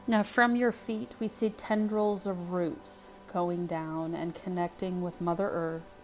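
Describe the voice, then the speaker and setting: Woman, meditation guide, very slow pace, soothing phrasing with pauses, music behind. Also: lowish voice, fairly even intonation, rough recording.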